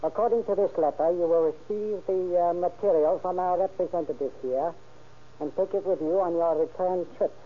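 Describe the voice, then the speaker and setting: An actor playing a stern, methodical man who gives a smuggling courier orders in a formal, deliberate way in an old-time radio crime drama. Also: high voice. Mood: formal, secretive. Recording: muffled, narrow old radio recording.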